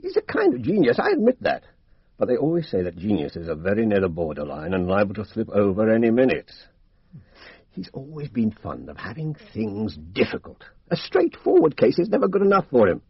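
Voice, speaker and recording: An actor playing a blunt, down-to-earth British police inspector in a radio mystery, speaking colloquially and with stubborn confidence. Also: male voice, on the low side, huge pitch swings, fairly quick, slightly rough, dry recording, full character voice. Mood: wryly baffled. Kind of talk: radio drama acting. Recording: dull, dry radio drama recording.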